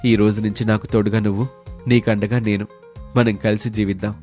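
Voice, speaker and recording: A man acts out a kind-hearted village fruit seller for a moral story. The voice is fairly low, measured and earnest, protective in tone, with music underneath. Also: flat delivery, a little mellow, natural voice. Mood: thoughtful, sincere. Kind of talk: dramatised moral story acting.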